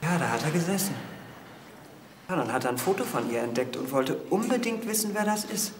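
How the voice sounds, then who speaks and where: A man's voice: fairly high pitch, animated, a little fast, noisy background, lightly acted. Actor as uneasy nobleman, echoey room. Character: uneasy young nobleman under police questioning. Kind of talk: German crime drama acting.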